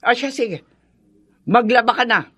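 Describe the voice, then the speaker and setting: Sharp, scolding Filipino mother-in-law. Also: fairly high pitch, unhurried. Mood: stern, scornful. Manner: sharp, sarcastic, bossy. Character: domineering, scolding middle-aged mother-in-law, nagging and scornful. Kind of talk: Filipino radio drama acting.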